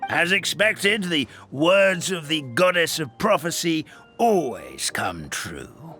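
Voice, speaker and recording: A kindly old forest grandfather, stammering gently as he speaks. His bright voice sits a little high and swings wildly up and down in pitch. Soft game music plays behind. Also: very animated, slow pace, heavily acted. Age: older. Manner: stammering, wistful, fond. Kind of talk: game cutscene voice acting.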